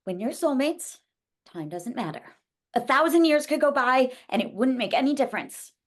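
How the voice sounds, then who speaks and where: Table read role: woman acting a stubborn young female idealist, high voice, earnest, very animated. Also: moderate pace. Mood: passionate. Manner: earnest, emphatic.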